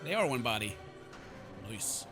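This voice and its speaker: A man's voice: mid-range voice, sing-song, a little slow, gravelly, bright, forceful tone, soft background music. A man hosting a let's play, reading game text aloud with informal, playful, exclamatory asides. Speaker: gaming video host. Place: indoors at home.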